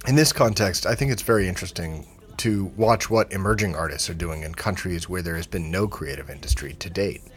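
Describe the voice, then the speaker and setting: A man hosting an interview podcast, speaking in a measured, articulate way as he introduces and questions his guest. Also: sits low, breathy edge, soft background music.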